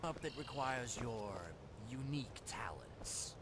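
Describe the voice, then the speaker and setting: A sly crime fence from a fantasy video game, acted by a man, with a lively, swinging mid-range pitch. He speaks deliberately and conspiratorially, like business is being done on the quiet. There is heavy noisy ambience behind the voice. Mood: businesslike, conspiratorial. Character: shady male fence and thief boss, sly, businesslike. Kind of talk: video game character dialogue.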